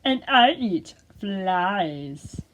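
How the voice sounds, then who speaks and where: A woman voicing a brash, boastful big-mouthed frog puppet for a children's storytime, loud and drawn out. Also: mid-range voice, lively intonation, slight background noise. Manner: loud, drawn-out, shouting questions.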